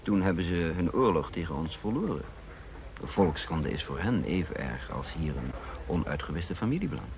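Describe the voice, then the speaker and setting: An actor playing a calculating double agent who poses as a counterintelligence chief, measured and probing in a radio play. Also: male voice, deep voice, sing-song, darkish tone. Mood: measured, musing. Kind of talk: Dutch radio play acting and first-person narration. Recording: noisy old radio play recording.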